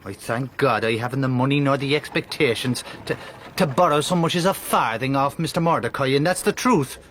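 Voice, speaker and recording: A man's voice: mid-range voice, fairly quick, a bit bright, slight background noise, close to an everyday voice. An actor playing an honest, plain-spoken Irish craftsman in a period radio drama, with an Irish accent and a wry, deferential, folksy manner. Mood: plain, firm.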